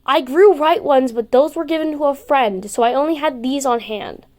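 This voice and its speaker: Audio fiction role: woman doing a soft-mannered boy, high-pitched, sweetly polite, quietly sly. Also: normal speed, natural voice. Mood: shy. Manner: gentle, apologetic, coaxing.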